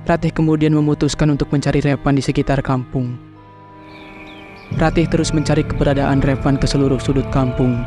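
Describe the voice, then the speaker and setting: A man narrating a horror cartoon in a plain, steady storytelling voice. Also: mid-range voice, brisk, over music. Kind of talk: scripted narration.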